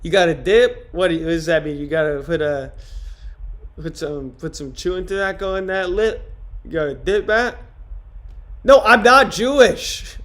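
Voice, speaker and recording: A young man with a fairly high-pitched voice riffs casually on a live stream. He answers viewers' comments one by one, rambling and cursing freely. He comes from upstate New York. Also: normal speed, a bit bright. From Rochester, New York. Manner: rambling, profane, answering chat comments aloud.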